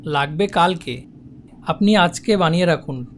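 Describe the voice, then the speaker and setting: A man playing a brisk office manager in a cartoon, formally polite but demanding. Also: fairly high pitch, a little hiss or hum. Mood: pressing. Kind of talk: cartoon voice acting.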